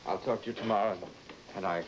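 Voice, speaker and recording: An actor playing a troubled, haunted, pleading husband in old-time broadcast drama, on a very noisy old recording. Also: male voice, on the low side, steady tone, quick pace, lo-fi sound, lightly acted. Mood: urgent, placating.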